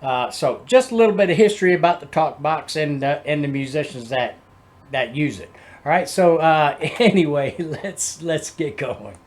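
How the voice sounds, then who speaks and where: Man rambling casually, slightly high-pitched voice. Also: lively intonation. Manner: rambling, halting, explanatory. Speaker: host of a music reaction video. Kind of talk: casual chat, music commentary.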